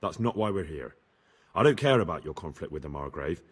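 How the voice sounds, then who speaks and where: An earnest young squire, husky. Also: male voice, sits low, lively intonation, brisk. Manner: earnest, dutiful. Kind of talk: video game cutscene acting.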